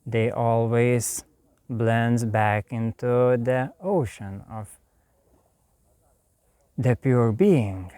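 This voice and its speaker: A man with a Lithuanian accent speaks very slowly and softly, leaving long pauses between short phrases. His voice is smooth and resonant, and the recording is dry and close. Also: mid-range voice, dry recording. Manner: slow, soft and calm, with long pauses. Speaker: spiritual teacher. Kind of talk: meditative spiritual talk.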